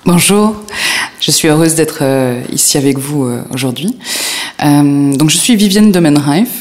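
A woman's voice: sits low, lively intonation, unhurried, darkish tone. A woman in her forties from the United States, answering interview questions with frequent hesitations and fillers.

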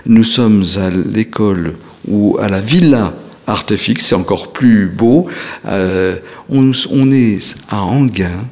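A man hosting an interview who talks haltingly, with many restarts and fillers. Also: fairly low pitch, a little mellow. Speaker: host. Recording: long reverb.

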